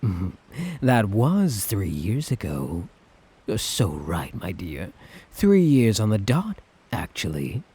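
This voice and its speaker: A man playing a suave, sadistic tyrant in an ASMR roleplay, teasing and condescendingly affectionate, with a breathy, husky voice. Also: lowish voice, wildly swooping pitch, slow, dark tone, full character voice. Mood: smug. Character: suave, sadistic, doting male tyrant, obsessively in love.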